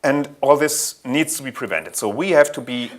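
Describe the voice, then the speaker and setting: A man from Germany with a German accent, presenting a technical conference talk in an earnest, explanatory way, pausing often to correct himself. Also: medium pitch, lively intonation. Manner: earnest, explanatory, with frequent ums and self-corrections. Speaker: conference speaker.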